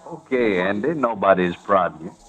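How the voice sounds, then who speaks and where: A man playing a placating saloon card dealer, measured and mildly needling, in an old radio western. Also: on the low side, animated, a bit bright, slightly rough, poor audio. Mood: unruffled. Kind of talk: 1950s radio western acting.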